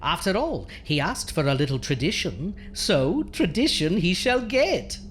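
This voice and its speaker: A woman's voice: fairly low pitch, big pitch swings, unhurried, a little hiss or hum. A woman playing a macabre, sardonic horror host doctor in an audio comedy, speaking in a dry, theatrical way. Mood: irritated, scheming. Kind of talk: horror anthology radio comedy.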